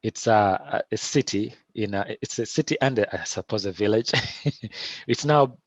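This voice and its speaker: A middle-aged man with a Ugandan accent, answering interview questions in a thoughtful, halting way with many restarts. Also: fairly low pitch, no room sound. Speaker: filmmaker guest.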